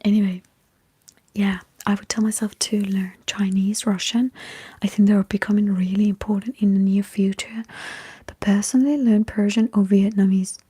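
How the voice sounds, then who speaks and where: A woman whispering breathily in a halting ASMR livestream chat with her viewers. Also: medium pitch, steady tone, warm tone, husky. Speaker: ASMR streamer chatting with live viewers.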